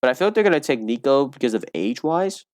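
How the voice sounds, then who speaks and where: Loud young man rambling through game commentary. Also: medium pitch, big pitch swings, fast talker, no room sound, studio quality. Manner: rambling with frequent restarts and fillers. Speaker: gaming commentator.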